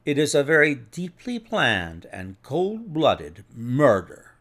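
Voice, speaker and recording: A man voicing a cool, methodical detective in an audiobook, measured, precise and authoritative. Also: mid-range voice, huge pitch swings, fairly bright tone, heavily acted. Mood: assured, analytical. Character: cool, methodical male detective, assured and analytical.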